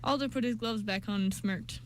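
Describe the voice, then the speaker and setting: A woman's voice: medium pitch, a little fast, a little hiss or hum. A woman reading scripted narration for an amateur radio play, with small stumbles.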